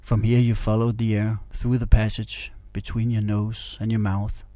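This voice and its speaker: Male meditation narrator: low, even-toned, calm and instructional delivery. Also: normal speed, dry recording. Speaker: meditation guide.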